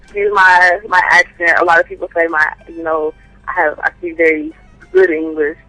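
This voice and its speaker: A woman poet calling in to an interview, answering thoughtfully with frequent pauses and fillers. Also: fairly low pitch, pushed and bright, no room sound, soft background music, rough recording. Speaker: guest poet and writer. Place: calling in from home by phone.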